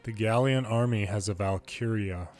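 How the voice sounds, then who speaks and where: A man's voice: fairly low pitch, moderate pace, a little mellow, faint music behind. A man reading video game dialogue aloud in English as the narrator of a longplay.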